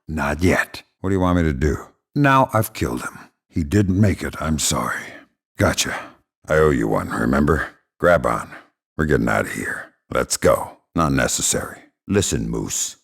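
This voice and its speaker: An actor playing a hardened middle-aged spy in a video game, gravelly, terse and deadpan, with dry sarcasm and menace. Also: male voice, a bass voice, heavily acted. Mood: quiet menace. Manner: terse, deadpan, dryly threatening.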